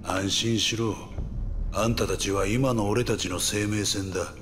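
Man playing deep-voiced, smug gangster villain. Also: fairly even intonation, unhurried, background noise, lightly acted. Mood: cold, commanding. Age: middle-aged. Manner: mocking, drawling, condescending. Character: smug, menacing middle-aged male gangster villain, scheming. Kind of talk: dramatic video game voice acting. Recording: dramatic music behind.

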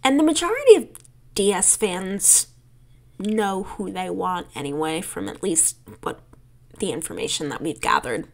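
A woman's voice: mid-range voice, big pitch swings, moderate pace, vocal fry, a little mellow. A young woman with a New York accent chatting casually and laughing often as she plays through and reads a story game demo. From New York City. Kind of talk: casual game walkthrough commentary.

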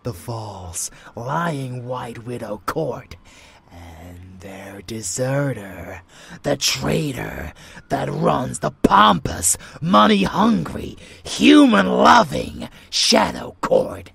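A raspy, slow-drawling unhinged vampire lover. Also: male voice, medium pitch, sing-song, fairly bright tone, exaggerated voice. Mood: scornful, outraged. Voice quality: raspy, breathy, often dropping into whispers. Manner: theatrical, slow, sneering, taunting. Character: unhinged rebellious male vampire, seductive, zealous, bloodthirsty lover. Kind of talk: roleplay ASMR dramatic monologue.

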